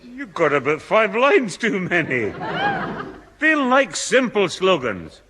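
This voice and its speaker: A man's voice: sits high, wildly swooping pitch, slow, noisy background, exaggerated voice. A man playing someone patiently correcting a cheeky boy in a 1960s radio sitcom. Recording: old 1960s radio recording, echoing hall.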